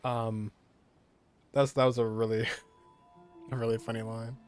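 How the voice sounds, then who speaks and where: A man's voice: medium pitch, flat delivery, measured pace, a little mellow. A young man giving informal let's play commentary, reacting aloud to the game with its music behind him. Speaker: gaming streamer.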